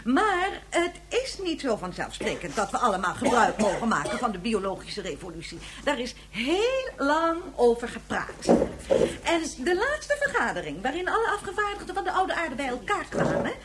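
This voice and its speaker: Exasperated schoolteacher, radio drama, highish pitch, very varied intonation, admonishing, noisy recording. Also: female voice, huge pitch swings, normal speed. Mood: explanatory. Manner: explanatory, admonishing. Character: exasperated female schoolteacher of a rowdy future class.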